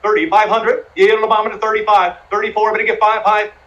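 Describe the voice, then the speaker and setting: A man calling a live charity auction, chanting bids in a fast rhythm and coaxing bidders to raise again. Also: high voice, little pitch movement, bright, forceful tone, dull audio. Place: live streamed fundraising event.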